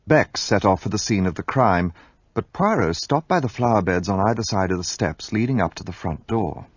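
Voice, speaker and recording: Hastings narrating the story, low, lively delivery, dry muffled sound. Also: male voice, normal speed, dry recording. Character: Captain Hastings, Englishman narrating, observant. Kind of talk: radio drama narration.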